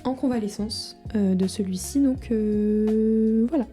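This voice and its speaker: Mellow, dark-voiced young woman, hesitant. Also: a little high, measured pace. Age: 20s. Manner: informal, hesitant. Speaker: vlogger. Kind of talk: casual video diary, talking to her future self. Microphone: microphone in front of a camera. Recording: music behind the voice. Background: music.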